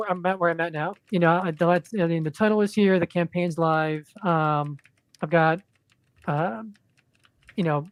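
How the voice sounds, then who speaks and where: A man chatting as a guest on a podcast, in a monotone, rambling way with lots of ums and restarts. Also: on the high side, clean tone, no echo. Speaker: podcast guest, indie comic creator. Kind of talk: casual podcast chat.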